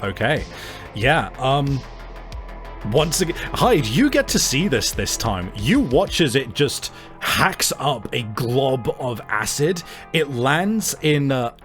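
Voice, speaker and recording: A man's voice: mid-range voice, sing-song, slow pace, fairly bright tone, faint music behind. A man narrating an improvised tabletop game as game master, feeling out his phrasing in hesitant pieces.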